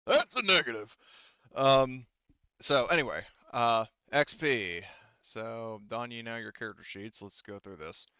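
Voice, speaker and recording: A man running a tabletop game as game master, talking casually and piecing his narration together haltingly as he goes. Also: medium pitch, sharp tone, clean tone, no echo, highs cut off.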